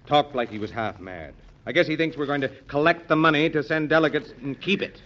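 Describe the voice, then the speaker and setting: A man's voice: a little high, fairly quick, a bit bright, natural voice. An actor playing a proud, hot-headed, indignant American statesman in 1940s radio drama style. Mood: aggrieved. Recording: dull old radio recording.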